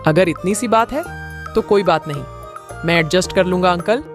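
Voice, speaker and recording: A woman's voice: lowish voice, moderate pace, over loud music, close to an everyday voice. Woman acting a naive, eager young donkey. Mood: agreeable. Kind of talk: children's moral storybook character voice.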